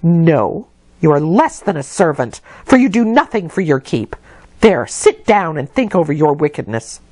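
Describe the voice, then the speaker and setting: A woman's voice: deep voice, big pitch swings, moderate pace, a little mellow, husky, no echo, heavily acted. A woman voicing a stern, sanctimonious lady's maid in a storybook, scolding in a prim manner. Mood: scornful.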